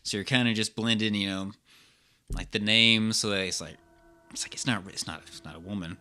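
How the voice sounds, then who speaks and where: Bright-voiced man chatting haltingly. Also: on the low side, fairly even intonation, smooth. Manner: halting, rambling. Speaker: podcast co-host. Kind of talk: casual chat.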